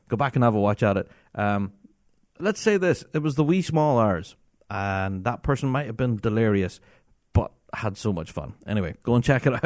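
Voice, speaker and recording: Male podcast host, enthusiastic and laughing, very animated delivery, dry close mic. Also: mid-range voice, no echo. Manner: enthusiastic, chatty, laughs often. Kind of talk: casual solo podcast talk.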